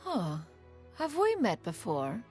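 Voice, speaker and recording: A woman's voice: mid-range voice, wildly swooping pitch, slow, darkish tone, faint music behind. A woman voicing a proud, aloof immortal adept with formal, archaic phrasing in a video game. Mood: intrigued. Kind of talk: video game character acting.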